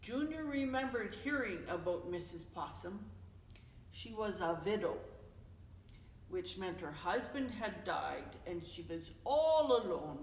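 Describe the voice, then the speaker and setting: A woman reading a children's picture book aloud as a storyteller, in an expressive, deliberate manner. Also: medium pitch, a little hiss or hum. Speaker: storyteller, host of a story time. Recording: echoey, reverberant room, distant mic.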